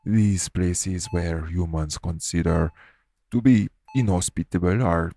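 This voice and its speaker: A deep, creaky-voiced male iguana shifter , acted by a man, speaks as a scholarly archaeologist in an audio roleplay. His tone is dark and soft-edged. He is courteous and measured, choosing formal words to reassure. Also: exaggerated voice. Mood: measured, explanatory. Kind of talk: audio roleplay acting addressed to the listener.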